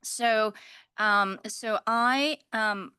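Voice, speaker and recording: Chatty woman moderator, bright voice. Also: a little high, very slow, clear, resonant tone, dry recording, clean studio sound. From Taiwan. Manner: chatty, laughs often, many fillers. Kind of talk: panel discussion moderation.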